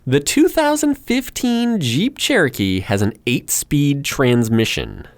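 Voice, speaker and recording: A man's voice: on the high side, slow, no room sound. A man co-hosting a casual podcast, playfully quizzing his co-host with trivia questions. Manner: lively, playful quizmaster.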